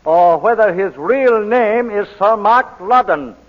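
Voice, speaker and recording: An actor playing a formal, pressing barrister questioning in court, in 1940s radio drama style. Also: male voice, high voice, lively intonation, slow. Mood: formal, insistent. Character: insistent, forceful courtroom barrister cross-examining witnesses. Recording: muffled, hissy 1940s radio recording.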